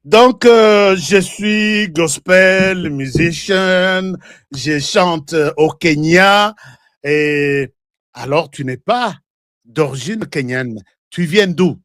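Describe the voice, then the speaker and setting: A man's voice: on the high side, extremely slow, fairly bright tone, no room sound, clean studio sound. A man with a Congolese French accent hosting a live online show, talking in heated, rambling political commentary and switching between languages.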